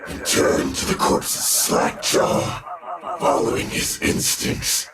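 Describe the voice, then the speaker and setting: A man playing a grave, theatrical narrator of a dark science fiction audio drama, with drawn-out, ominous delivery. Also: heavy background noise, lightly acted. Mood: grave, foreboding. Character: ominous male narrator of a dark sci-fi tale. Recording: echoey, distant voice under loud music.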